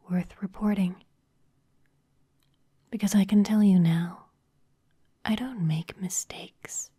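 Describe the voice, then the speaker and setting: A poised vampire executive, played by a woman, who whispers softly and teases with a quiet edge of menace. Her voice sits a little low, with a dark, breathy grain. This is an ASMR roleplay. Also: exaggerated voice. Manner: soft whispering, teasing with veiled threats. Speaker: ASMR performer.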